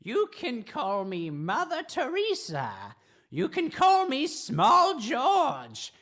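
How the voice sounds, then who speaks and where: High, swooping, cryptic mad watcher. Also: female voice, huge pitch swings, takes it slowly, a bit bright, full character voice. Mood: cryptic, gloating. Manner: theatrical, cackling, riddling. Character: mad, taunting, cryptic watcher lurking above a dungeon. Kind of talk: improvised radio drama comedy.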